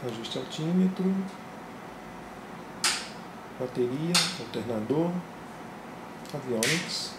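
A man explains his homemade flight panel in a steady, methodical way. His voice echoes around a small room. Heavy fan and engine hum sits underneath him the whole time. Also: medium pitch, slow pace, pauses often. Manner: calm, methodical, pointing things out. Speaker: flight sim hobbyist. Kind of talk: casual show-and-tell narration. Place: home simulator room, indoors. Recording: roomy, with loud fan and engine noise.

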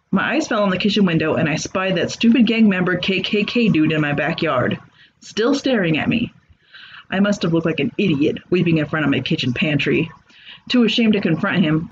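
A man's voice: sits high, highs cut off, slight background noise. A man reading a comedic horror story aloud with a dry, sarcastic delivery. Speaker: narrator. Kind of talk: comedic creepypasta narration.

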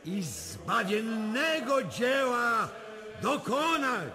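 A man's voice: extremely high pitch, huge pitch swings, drawn-out words, heavy background noise, exaggerated voice. An actor playing a solemn, exalted king, declaiming ceremonially in a radio drama. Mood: solemn exaltation. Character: solemn, exalted king addressing his nation. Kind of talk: theatrical radio drama oratory. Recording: crowd behind the voice.

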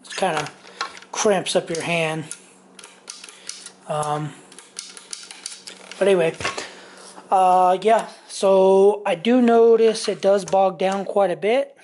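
A man's voice: high voice, slow pace, long pauses, darkish tone, slight background noise. A middle-aged man reviewing a power tool in his home garage workshop, rambling loosely with many ums and restarts. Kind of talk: casual tool review vlog.

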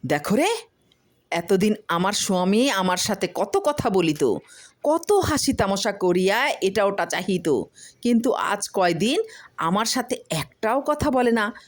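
A woman's voice: medium pitch, lively intonation, measured pace, pitched above the performer's own voice, lightly acted. A woman voicing a jealous, hot-tempered village wife in a storybook reading, scolding and exclaiming. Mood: suspicious.

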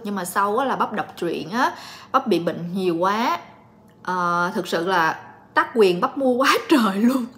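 A woman in her late twenties chatting calmly and directly to viewers in a casual home vlog. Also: fairly high pitch. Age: late 20s. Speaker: vlogger and story reader. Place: living room at home.

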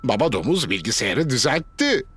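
A man's voice: mid-range voice, very animated, moderate pace, background noise, lightly acted. A man playing a cheery storybook narrator, reading scripted narration for a children's cartoon. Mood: explanatory. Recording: loud music behind the voice.

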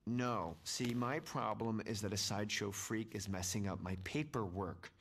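An actor playing a smug, cold corporate executive in a video game, talking in a smooth, condescending, unhurried manner. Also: male voice, fairly low pitch, fairly bright tone, a little hiss or hum. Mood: cold, mocking. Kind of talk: video game cutscene acting.

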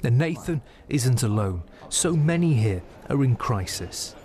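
A man's voice: mid-range voice, lively intonation, a little slow, darkish tone. A man, a news reporter narrating a report and answering an anchor's questions. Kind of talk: scripted news narration and studio debrief.

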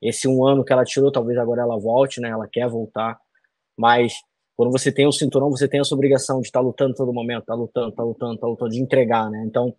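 A man's voice: mid-range voice, flat delivery, quick pace, a little mellow, no room sound. A man in his thirties answering interview questions over a video call from a small room, talking loosely with many fillers and tag questions. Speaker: fighter interviewee.

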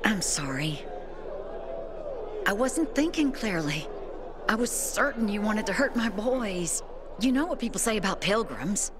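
A woman's voice: medium pitch, big pitch swings, a little slow, long pauses, background noise. An actress playing an anxious, protective mother in a video game, earnest and worried. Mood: apologetic. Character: anxious, protective mother pleading for her missing son. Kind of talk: video game drama acting. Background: music.

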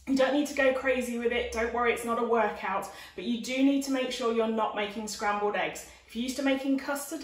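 A woman in her forties presenting an informal cookery demonstration to camera in a chatty way, recorded in a dining room. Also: fairly high pitch. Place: domestic dining room, indoors. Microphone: camera a few steps away. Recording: roomy, distant camera microphone.

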